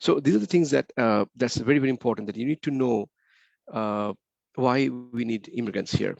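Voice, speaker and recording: Man, webinar presenter, very fast delivery, gravelly, dry muffled call audio. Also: mid-range voice, darkish tone, no room sound. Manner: rapid, repeats key points, asks rhetorical questions. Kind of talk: slide presentation mixing English and Bengali. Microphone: computer mic on a video call.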